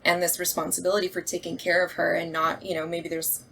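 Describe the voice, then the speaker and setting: A woman's voice: on the low side, level tone, fast, creaky, a bit bright. A woman with a reflective, analytical way of talking in a casual discussion. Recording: faint noise and room ambience.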